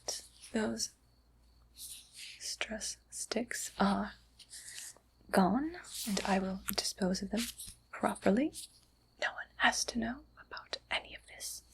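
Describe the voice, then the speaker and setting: Woman doing a sketchy back alley doctor lady for asmr roleplay, creaky hushed whisper, secretive n a bit menacing. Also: medium pitch, rough recording. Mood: pleased, secretive. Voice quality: breathy whisper with some creak. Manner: slow, hushed, halting, conspiratorial.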